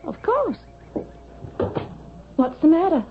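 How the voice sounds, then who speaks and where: An actress playing a loyal, anxious grown daughter and affectionate wife in a vintage radio drama, earnest and emotional. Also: female voice, very high voice, sing-song, takes it slowly, heavily acted. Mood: welcoming, concerned. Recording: dull vintage radio broadcast, faint noise.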